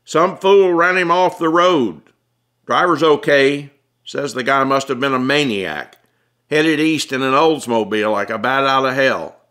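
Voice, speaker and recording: Guy putting on a fed up folksy traffic cop in an audiobook, loud n blunt, pitched up. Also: a little slow, lightly acted. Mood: gruff, urgent. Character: exasperated, authoritative small-town traffic policeman.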